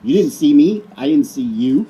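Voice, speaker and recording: A man's voice: a little high, expressive, normal speed, dark tone, a little hiss or hum, poor audio. A middle-aged man co-hosting a casual chat show, joking and teasing. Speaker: co-host.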